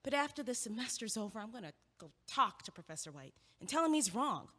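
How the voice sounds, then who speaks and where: A woman's voice: a little high, very animated, fast, sharp tone, full character voice. A woman playing a blunt, driven young college student in an audio play, with a sardonic, emphatic delivery. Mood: frustrated, determined. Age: young adult. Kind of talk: stage-style audio play dialogue. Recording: echoey hall with long reverb.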